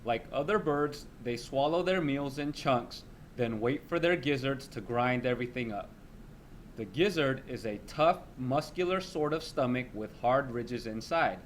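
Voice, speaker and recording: A man's voice: mid-range voice, animated, a little slow, slight background noise. A man reading a children's nonfiction text aloud as a teacher.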